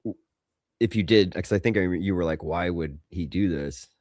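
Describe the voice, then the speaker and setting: A man's voice: low voice, moderate pace, a little mellow, no room sound, clean studio sound. A man co-hosting a podcast, musing aloud informally and swearing in casual pop culture banter. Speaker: podcast co-host.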